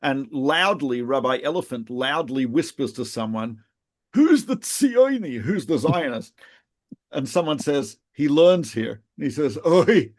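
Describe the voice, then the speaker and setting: A middle-aged man from Australia swaps stories with a friend on a podcast, with a voice of ordinary pitch. He tells his anecdotes with animation and laughs at them. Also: lively intonation, a little slow, a little mellow. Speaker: podcast co-host, rabbi. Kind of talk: casual reminiscing conversation.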